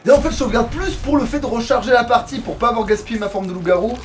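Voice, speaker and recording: A man's voice: very high-pitched, expressive, rapid-fire. A man commenting casually on his game, with crude joking slang. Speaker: let's player. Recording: noisy home recording.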